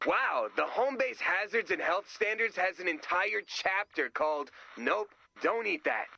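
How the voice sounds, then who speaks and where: A man voicing an excitable, naive, nerdy sidekick in a video game, earnest, chatty and goofy. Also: sits high, a little slow, creaky, dull audio, a little hiss or hum, full character voice. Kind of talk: video game character voice lines.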